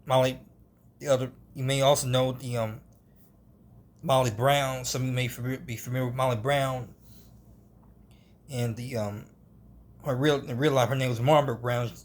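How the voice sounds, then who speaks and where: A man's voice: medium pitch, slight background noise. Man, amateur film reviewer; hesitant, rambling delivery, restarts often, middle-range voice. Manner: halting, with frequent restarts and hedging.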